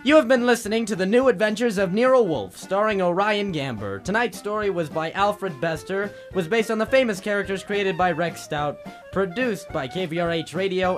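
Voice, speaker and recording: A male announcer reads scripted credits in an old-time radio manner. His voice is high, with music clearly underneath.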